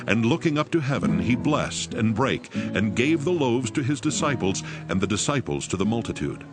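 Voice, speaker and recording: A man narrating scripture in a measured, solemn, scripted style. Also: mid-range voice. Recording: music bed behind voice. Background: music.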